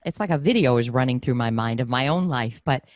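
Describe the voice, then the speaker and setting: A middle-aged woman hosting a conversational interview, animated and effusive as she thinks aloud. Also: rumbling low, brisk, dry recording, clean studio sound. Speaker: podcast host and interviewer.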